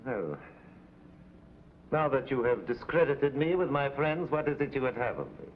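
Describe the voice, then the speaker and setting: An actor playing a smug, calculating hired assassin in a 1950s TV drama, speaking in a formal, haughty, precise way. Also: male voice, medium pitch, lively intonation, normal speed, close to an everyday voice. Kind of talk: 1950s television drama acting. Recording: dull, noisy old TV soundtrack.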